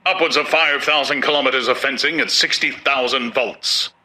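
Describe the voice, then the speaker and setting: A male narrator playing a pompous older official who booms out facts and unasked-for advice. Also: normal speed, pushed and bright. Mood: lecturing. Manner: booming, lecturing, self-satisfied.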